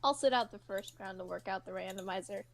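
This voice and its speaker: A woman's voice: medium pitch, quick pace, creaky, a crowd in the background, close to an everyday voice. A woman playing a clever, teasing teenage tech whiz girl with a sardonic manner in a fan fiction reading. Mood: wry.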